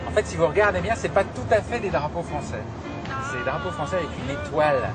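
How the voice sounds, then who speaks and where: A man's voice: a little high, animated, quick pace. A man from France presenting a travel show, interviewing locals with playful curiosity and laughs. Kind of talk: casual on-location interview. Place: outdoor harbour festival. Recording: loud crowd and music behind.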